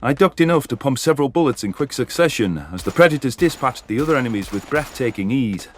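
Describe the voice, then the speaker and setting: A man's voice: mid-range voice, lively intonation, fast talker, slight background noise, natural voice. A man playing a grieving, vengeful alien soldier who narrates a sci-fi war story in earnest, formal, articulate language. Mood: grim, intent. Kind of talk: first-person sci-fi story narration and dialogue.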